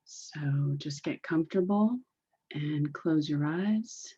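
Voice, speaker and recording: A low-pitched woman guides a meditation with a very unhurried, soothing delivery. Her voice is dark and mellow, with soft, husky edges. She repeats phrases deliberately, and the recording is slightly dull.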